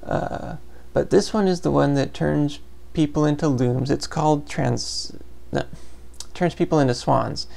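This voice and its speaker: Very creaky-voiced man, casual game commentary. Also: fairly high pitch, unhurried, darkish tone. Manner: informal, rambling, explanatory. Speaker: Let's Play commentator.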